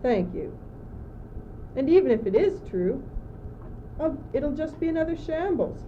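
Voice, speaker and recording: A woman's voice: high voice, very animated, measured pace, warm tone, slight background noise. A teenage girl playing a gloomy, confused, doubting young courtier in an amateur school radio play, plaintive and theatrical, on an old muffled recording. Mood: defiant, fretful. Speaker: student actor.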